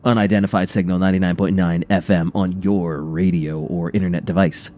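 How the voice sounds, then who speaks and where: A man co-hosting a radio show with casual, reactive banter, rambling and haltingly repeating his phrases. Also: low-pitched, steady tone, dark tone, slight background noise.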